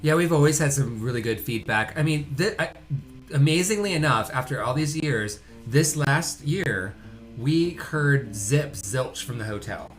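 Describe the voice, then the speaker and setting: A man's voice: medium pitch, animated, a little slow, soft background music. A man co-hosting a casual livestream, talking in a laid-back, rambling way. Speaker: co-host of a livestream show. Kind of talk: casual chat.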